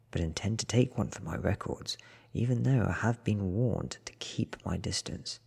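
A man reads a story in soft ASMR style, very deep and hushed. His voice is extremely breathy, often near a whisper, with a crackling fry underneath. He delivers each phrase slowly and deliberately. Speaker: reader. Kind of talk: soft-spoken ASMR audiobook reading.

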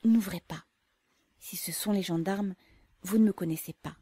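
A woman reading aloud, voicing a stubborn young woman who talks calmly and austerely. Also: medium pitch, normal speed, breathy edge, no echo, lightly acted. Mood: urgent. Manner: calm, austere.

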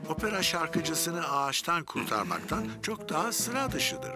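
Cartoon fire officer: bragging, slightly high voice, swinging intonation, music behind. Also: male voice, very animated, a little slow. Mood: boastful. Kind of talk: dubbed children's cartoon acting.

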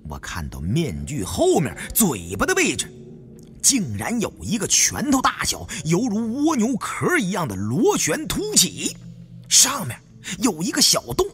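A man's voice: high voice, huge pitch swings, unhurried, fairly bright tone, faint music behind. A man narrating a suspenseful story in a theatrical, dramatic audiobook style.

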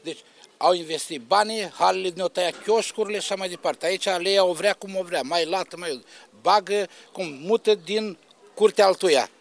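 High-voiced middle-aged man. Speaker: market trader being interviewed. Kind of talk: street interview, complaining. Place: market stall in open air. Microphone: handheld reporter microphone.

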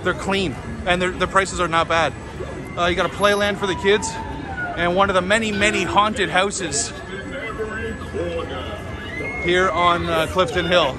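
A man's voice: high voice, steady tone, moderate pace. A man giving a casual, upbeat walking tour on a busy city street, talking with many fillers. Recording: street crowd and music behind. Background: street crowd and music.

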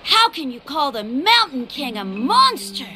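Little game village boy, very high boyish voice, unhurried, earnest, plaintive, music behind. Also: sing-song. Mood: indignant. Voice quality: youthful, boyish. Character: earnest, loyal, indignant young village boy. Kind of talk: video game voice acting.

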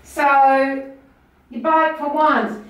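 High-voiced Australian woman in echoey rooms. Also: flat delivery, slow, highs cut off. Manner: chatty, enthusiastic. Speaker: auction house presenter. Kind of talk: casual walk-through tour of auction items. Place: small indoor rooms of an auction house.

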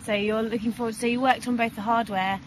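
A woman's voice: a little high, steady tone, fast, noisy background. A woman interviewing a guest outdoors, encouraging with frequent agreement sounds. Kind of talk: casual interview questions.

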